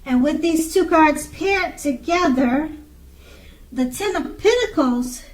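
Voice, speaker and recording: A woman giving an unscripted tarot card reading in a halting way, pausing and repeating her phrases. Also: high-pitched. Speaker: tarot reader.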